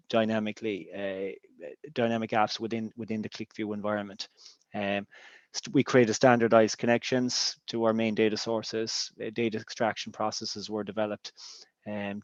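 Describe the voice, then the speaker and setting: Hesitant Irish man, flat and low. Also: no room sound, muffled recording. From Ireland. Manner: hesitant, many ums and restarts. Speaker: business intelligence director. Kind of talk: webinar presentation.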